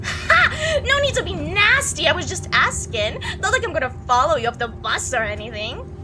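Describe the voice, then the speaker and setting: A woman's voice: very high-pitched, sing-song, moderate pace, noisy background. A woman voicing a talkative, oblivious and creepy young male bus passenger in a visual novel, rambling on breezily with casual menace. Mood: defensive, breezy. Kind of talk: acted visual novel dialogue.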